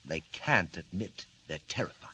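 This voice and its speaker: An actor playing a sly, pompous criminal mastermind in a 1940s radio serial, with formal, mocking and menacing phrasing. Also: male voice, low voice, animated, moderate pace, pushed and bright. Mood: gloating, scheming. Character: sly, pompous villain mastermind called the Wolf. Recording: noisy old radio recording.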